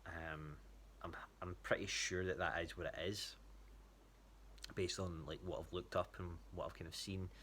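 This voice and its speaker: Scottish male, low voice, informal and halting check-in style, noisy recording. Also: steady tone, fairly bright tone. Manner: hesitant, rambling. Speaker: athlete giving a training check-in to a coach. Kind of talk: casual spoken update.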